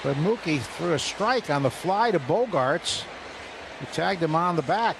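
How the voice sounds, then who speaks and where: A man's voice: on the high side, lively intonation, a little slow, vocal fry, background noise. A man giving analysis and statistics as a color commentator on a live baseball radio broadcast. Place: stadium broadcast booth. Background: loud ballpark ambience.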